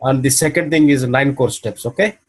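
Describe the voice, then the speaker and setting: A man's voice: mid-range voice, normal speed. A man coaching someone over a video call, explaining steps patiently and checking in with "okay?" after each point. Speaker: business mentor.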